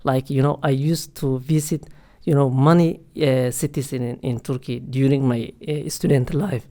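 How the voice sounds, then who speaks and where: Man, podcast guest, halting speech, constant fillers, mid pitch, casual tone. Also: fairly even intonation, dark tone. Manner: hesitant, heavy use of "you know" and "uh" fillers. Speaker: guest, international student office staff.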